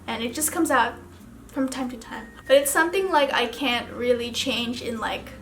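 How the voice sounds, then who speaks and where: A woman's voice: on the high side, normal speed, fairly bright tone, roomy sound, a little hiss or hum. A young woman from the Philippines with a Filipino accent, chatting casually through a makeup vlog, full of filler words. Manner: rambling, casual, lots of "like" fillers. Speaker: beauty vlogger.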